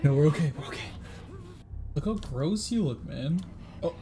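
A young man hosting a casual horror game session with friends, excitable and laughing at scares. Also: on the high side, moderate pace. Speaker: gaming channel host. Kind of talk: casual co-op horror gameplay chat. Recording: noisy game audio behind voice.

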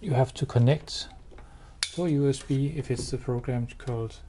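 A man giving a hands-on product demo indoors, explaining step by step in a halting, matter-of-fact way. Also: medium pitch, mellow. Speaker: product demonstrator. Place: indoors, showroom with wall-mounted devices. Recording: clear background noise.

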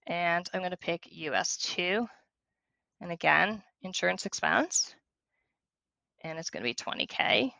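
A woman demonstrating software, walking through each step in a conversational tutorial style. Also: on the low side, animated, measured pace, creaky, long pauses, no room sound.

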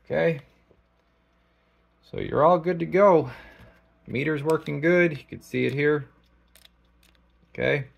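A man giving a casual product demonstration as a technician, thinking aloud in a halting, informal way. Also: fairly high pitch, creaky, breathy edge, dull audio. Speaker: radio technician. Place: small indoor electronics workshop.

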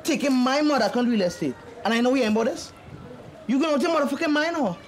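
A man's voice: very high-pitched, animated, fast, a little hiss or hum. A young man with a Trinidadian accent playing an arrogant street drug dealer who swears and gives orders. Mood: aggressive. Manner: aggressive, profane, commanding.

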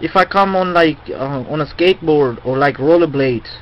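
A man's voice: on the high side, normal speed. A man making a rambling prank phone call in a hesitant, repetitive, slangy way. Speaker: prank caller. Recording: muffled, noisy phone call recording.

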